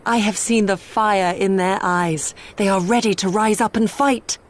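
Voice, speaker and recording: A woman's voice: medium pitch, fairly even intonation, unhurried, close to an everyday voice. An actress playing a grave, devoted woman warrior in a fantasy game, speaking formally and with deference. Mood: earnest, stirring. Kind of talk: fantasy game cutscene acting.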